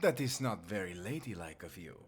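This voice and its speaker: A smug Spanish-accented charmer sliding onto the next bar stool in an audio drama, acted by a man, formal, flirtatious and condescending, his pitch gliding up and down. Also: animated, brisk, heavily acted. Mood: smooth, flirtatious. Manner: formal, smooth-talking, condescending. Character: suave, smug Spanish gentleman, flirtatious wannabe warlock. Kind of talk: audio roleplay acting.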